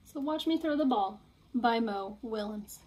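A woman's voice: fairly high pitch, lively intonation, a little slow, a little mellow, husky. A young adult woman doing a casual picture-book read-aloud with asides, recorded indoors at home at arm's length from the device. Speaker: children's read-aloud reader. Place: small room at home, indoors.

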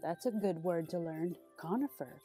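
A woman's voice: fairly low pitch, moderate pace, warm tone, soft background music. A middle-aged woman hosting storytime for small children, with an exaggerated, sing-song delivery as she talks and reads aloud. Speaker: children's library storytime host. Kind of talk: children's storytime, talking to young viewers and reading aloud. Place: small decorated indoor storytime set.

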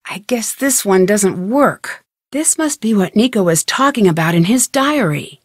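A woman playing a young, curious and determined amateur detective in a video game, thinking aloud in clearly articulated scripted lines. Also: mid-range voice, expressive, unhurried, dry recording, studio quality. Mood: musing, focused.

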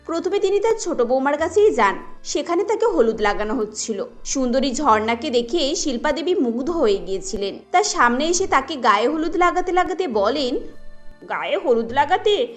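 Woman narrator for a cartoon story, high voice, bit of music behind. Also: big pitch swings, normal speed.

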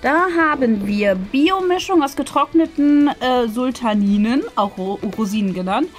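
A woman's voice: fairly high pitch, expressive, moderate pace. A woman, hosting a casual, chatty product review. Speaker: host of an unboxing video. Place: small decorated indoor room. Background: faint music.

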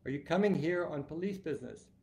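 A brisk, courteous woman customs officer asking routine official questions, as a man reads her lines from his novel. The voice is dark and gravelly. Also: on the high side, lively intonation, voice pushed up. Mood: questioning.

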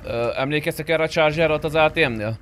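A man's voice: fairly high pitch, a bit bright, slight background noise. A man playing an online roleplay game, talking in an informal, pushy way. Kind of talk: in-character gameplay chat.

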